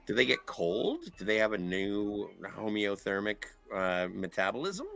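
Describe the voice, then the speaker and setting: A man's voice: fairly low pitch, lively intonation, a little slow, vocal fry, a bit bright, faint music behind. A man co-hosting an online review stream, discussing and bantering casually in a wry, joking way.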